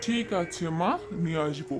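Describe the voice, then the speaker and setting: A man's voice: fairly high pitch, animated, moderate pace, over music, natural voice. A man playing a kindly, worried middle-aged father in a fairy-tale cartoon, with an affectionate, plaintive delivery.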